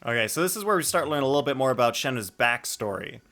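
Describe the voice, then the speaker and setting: A man's voice: mid-range voice, expressive, fast, a bit bright. A man giving casual, playful live commentary as a game streamer, thinking aloud in a rambling way.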